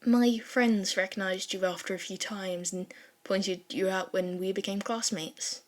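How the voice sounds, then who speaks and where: A timid, kindly schoolboy from an audio fan story, voiced by a woman. He talks slowly and hesitantly, softly apologetic. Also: medium pitch, fairly even intonation, close to an everyday voice. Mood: shy. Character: shy, gentle, hesitant teenage boy student.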